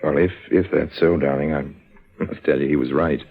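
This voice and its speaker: A man's voice: extremely low pitch, steady tone, moderate pace, creaky, exaggerated voice. An actor in an old radio drama playing a wry American con man, urbane and understated, with an American accent. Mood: tender. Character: smooth, wry American con man, first-person narrator. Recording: dull vintage radio recording, music behind.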